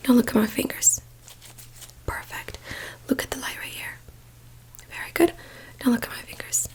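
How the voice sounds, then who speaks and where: Lady whispering like an asmr doctor doing a checkup, gentle and reassuring, bit of crackly fry in her voice. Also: high voice. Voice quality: breathy whisper. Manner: gentle, reassuring, softly instructing. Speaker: ASMR performer playing a doctor. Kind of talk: soft-spoken ASMR medical exam roleplay.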